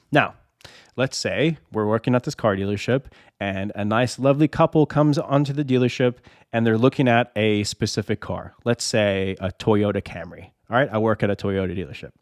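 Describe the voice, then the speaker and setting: A man's voice: mid-range voice, no room sound. A man with an ordinary mid-range voice who is a little under the weather, so his voice sounds slightly off. He talks casually to his viewers and works through a long made-up example, asking rhetorical questions and repeating himself. The sound is dry and close, with no room in it. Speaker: reseller channel host. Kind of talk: casual explainer to camera.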